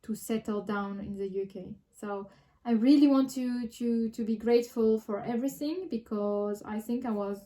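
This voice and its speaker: French-accented woman with a mellow voice. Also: fairly high pitch, moderate pace, hardly any pauses. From France. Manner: frequent restarts and hesitations, reflective. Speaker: vlogger. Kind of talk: casual personal vlog.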